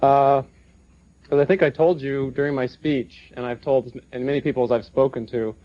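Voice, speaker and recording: A man with an average-pitched voice answers at a seminar. He is earnest and measured, and the audio is dull and lo-fi. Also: fairly even intonation. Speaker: former federal agent speaking at a seminar. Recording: muffled lo-fi broadcast recording.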